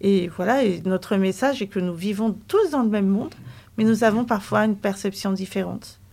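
Woman with a gravelly voice, hesitant. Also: mid-range voice, moderate pace, a little mellow. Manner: hesitant, searching for words. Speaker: association guest. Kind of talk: studio conversation. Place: radio studio.

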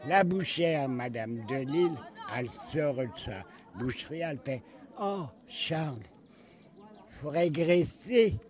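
A man of about eighty from northern France with a Picard accent, telling anecdotes in dialect in a folksy, animated way. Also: fairly high pitch, takes it slowly, some chatter behind. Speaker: local elder interviewee.

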